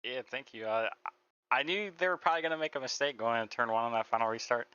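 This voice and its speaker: A man, a sim racing driver, talking casually and haltingly about his race over voice chat. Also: mid-range voice, smooth, dry recording. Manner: relaxed, halting, lots of "like" and "uh". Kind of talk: casual post-race interview. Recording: dry, dull voice-chat audio.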